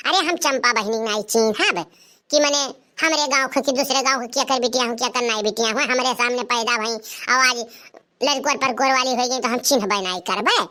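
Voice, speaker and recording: A woman voicing an excitable Awadhi-speaking village woman in a cartoon comedy, emphatic and repetitive as she pleads to be believed. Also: fairly high pitch. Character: excitable village woman bringing gossip to her elder sister.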